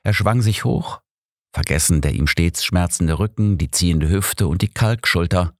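Male audiobook narrator, low voice, dry recording, crime fiction. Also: moderate pace, no echo. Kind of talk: scripted narration of a crime story.

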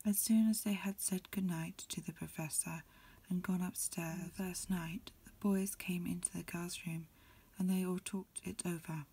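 A woman whispers her way through a storybook in an ASMR style. Her voice is extremely breathy and on the low side. She reads softly and evenly in short, unhurried phrases. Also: steady tone. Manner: soft, unhurried, phrase-by-phrase reading. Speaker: amateur reader. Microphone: lo-fi home recording.

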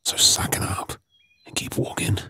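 An Australian man playing a gruff, wary zombie apocalypse survivor in a whispered ASMR role play, terse, urgent and husky. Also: pushed and bright, lightly acted. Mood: stern, exasperated. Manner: terse, urgent, slow clipped phrases.

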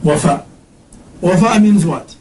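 A middle-aged male religious teacher with a fairly high voice, asking his students questions and unpacking each term with patience, now and then reciting Arabic verses. He is heard in a roomy classroom and sounds a little distant from the mic. A faint hum sits behind him. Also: expressive, crowd noise. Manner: questions the audience, explains terms slowly, recites Arabic verses. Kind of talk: interactive religious lesson. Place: indoor classroom with whiteboard.

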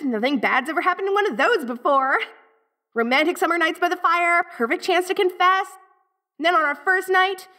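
A high, piercingly bright voice from a woman acting as a snippy teenage ghost girl from the eighties. She speaks with an American Valley girl accent, straight to the listener as in an audio roleplay. She is dramatic and sassy, quick to get flustered and defensive. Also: exaggerated voice. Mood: embarrassed, sulky. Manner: sassy, dramatic, flustered, peppered with 80s slang. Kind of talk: audio roleplay, one-sided dialogue to the listener.

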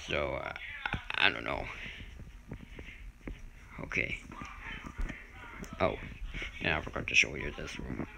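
A man's voice: very deep voice, expressive, slow pace, creaky, pauses often. A man giving a casual, improvised house tour, speaking hesitantly and unsurely as he films indoors on a handheld camera. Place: indoors, moving through rooms of a house. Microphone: handheld phone or camera mic. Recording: noisy handheld home video, clicks.

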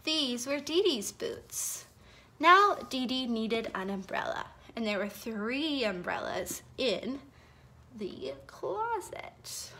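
A young woman telling a felt board story for children at home, expressive and sing-song, with gasps and whispers, recorded on a phone held over the board. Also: fairly high pitch, slow, a little hiss or hum. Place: home living room, indoors. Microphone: phone camera held over the felt board.

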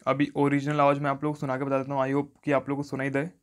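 A man's voice: medium pitch, completely flat delivery, fast talker. A man giving an instructional phone tutorial voice-over, often repeating stock phrases. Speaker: tech tutorial host.

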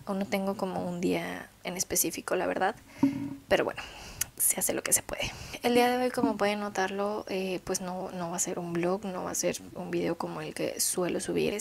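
A woman's voice: on the low side, creaky. A woman in her twenties with a Mexican accent, chatting casually and explaining things as a vlogger. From Guadalajara, Mexico. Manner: chatty, explanatory, keeping it down at night. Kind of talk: casual storytime chat to camera. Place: small bedroom, indoors. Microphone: desktop microphone close in front.

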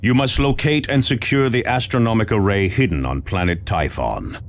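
An actor playing an authoritative, resolute space marine captain giving emphatic orders in a video game. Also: male voice, fairly low pitch, normal speed, a little hiss or hum, natural voice. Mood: commanding, grave. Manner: commanding, emphatic. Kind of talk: scripted game mission briefing.

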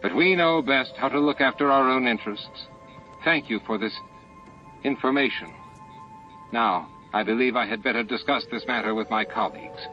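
A man's voice: mid-range voice, moderate pace, voice pushed up, natural voice. Guy playing a smug alien military boss in an audiobook, oily polite, dull lo-fi sound. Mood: rattled. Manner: falsely courteous, oily. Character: smug, supercilious male alien military commander. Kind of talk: audiobook character dialogue. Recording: muffled lo-fi audiobook with music.

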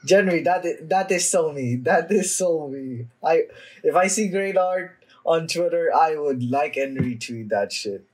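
A young man giving casual, off-the-cuff reaction commentary in a halting way, trailing off mid-thought. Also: on the high side, breathy edge. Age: young adult. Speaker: anime reaction vlogger. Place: small home room, indoors.

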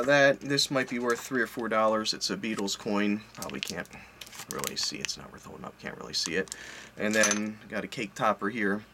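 A man's voice: lowish voice, background noise. A man in his forties giving a casual, rambling vlog update from his home office, often correcting himself mid-sentence. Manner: chatty, rambling, self-correcting, offhand. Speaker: collector and online reseller. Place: home office full of collectibles, indoors. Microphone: webcam at desk, seated.

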